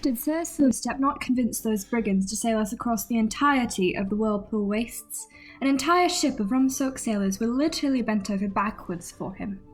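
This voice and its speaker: A woman's voice: fairly high pitch, normal speed, faint music behind, lightly acted, rough recording. A woman playing a prim, courtly young noblewoman in a fantasy audio drama, speaking formally and precisely with polite reproof. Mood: proud rebuttal.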